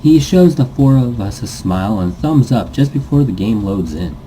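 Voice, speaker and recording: Dark-voiced man narrating, very lively pitch. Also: normal speed, a little hiss or hum, rough recording. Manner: stumbles and rereads phrases. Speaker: reader, host of a let's read series. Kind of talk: dramatic read-aloud narration of visual novel.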